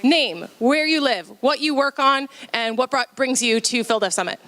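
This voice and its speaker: A woman's voice: sits high, moderate pace, a bit bright. A woman opening a conference as its host, upbeat and cheering, with frequent fillers, speaking in a conference hall. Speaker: event host. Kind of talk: conference kickoff talk. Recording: long hall reverb.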